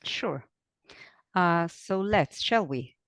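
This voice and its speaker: A woman's voice: fairly low pitch, lively intonation, very slow, no echo, studio quality. A woman hosting an interview, reading a formal, scripted introduction and then asking questions. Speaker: host.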